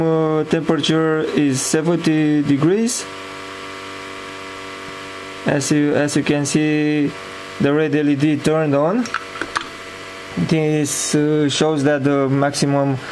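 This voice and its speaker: A man with a slightly high-pitched voice presents a hands-on project demonstration. He speaks slowly and informally, pausing hesitantly and piecing his phrases together as he goes. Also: a little mellow, a little hiss or hum, low-quality recording.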